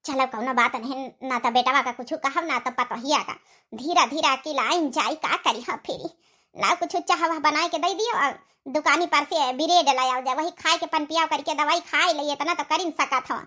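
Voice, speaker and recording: A woman plays an elderly, long-suffering village mother-in-law from a cartoon comedy. She has a high-set voice and an Awadhi accent, grumbling with weary resignation. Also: normal speed, bright, forceful tone. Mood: resigned.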